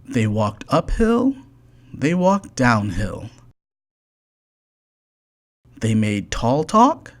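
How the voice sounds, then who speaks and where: Storyteller for a children's bedtime book: unhurried, deliberate, animated intonation. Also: mid-range voice. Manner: slow, deliberate, expressive read-aloud. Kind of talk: scripted children's storybook narration.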